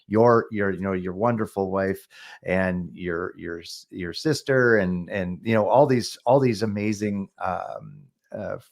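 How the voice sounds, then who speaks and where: A man's voice: low voice, animated, a little slow, creaky. A man hosting a podcast interview, enthusiastic and encouraging toward his guest. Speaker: podcast host. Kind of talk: casual interview chat.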